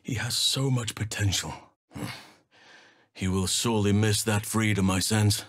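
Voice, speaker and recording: An actor playing a wise, gentle elf druid in a video game, with a measured, formal, thoughtful delivery. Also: male voice, on the low side, fairly even intonation, no echo, studio quality. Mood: thoughtful, calm. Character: wise, gentle male elf druid, nature-loving and solemn. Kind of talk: dramatic game dialogue.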